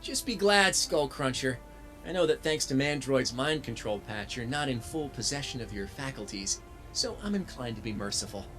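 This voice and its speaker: An actor playing a plucky, defiant heroic robot in a video game, with a wry, quippy manner. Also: male voice, mid-range voice, very animated, normal speed, close to an everyday voice. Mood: cocky. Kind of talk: video game cutscene acting. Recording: loud music behind the voice.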